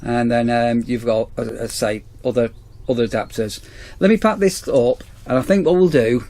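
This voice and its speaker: A man's voice: medium pitch, moderate pace, dark tone. A man in a campsite warden role, chatting casually outdoors as he reviews a torch on an action camera. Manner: chatty, rambling, repeats "as I say". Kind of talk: casual product review vlog.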